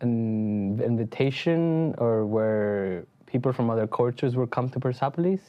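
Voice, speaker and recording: A woman's voice: rumbling low, expressive, unhurried, dull audio. A woman from Mexico hosting an interview, asking short friendly questions and prompting the guest. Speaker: interviewer, host. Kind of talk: casual interview.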